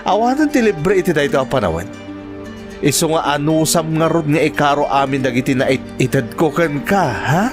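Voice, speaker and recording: A man's voice: fairly high pitch, animated, moderate pace, natural voice. A man with an Ilocano Filipino accent playing a cruel, domineering master of the house in an audio drama. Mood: mocking, menacing. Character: cruel, domineering man keeping a woman as servant. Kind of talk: amateur radio-style drama acting. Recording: music behind the dialogue.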